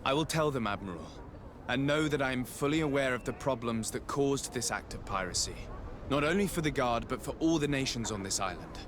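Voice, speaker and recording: An actor playing an earnest, courteous diplomat in a video game, speaking in a polite, formal and measured way. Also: male voice, medium pitch, lively intonation, noisy background, lightly acted. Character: courteous, earnest male diplomat, composed investigator. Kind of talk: dramatic video game dialogue.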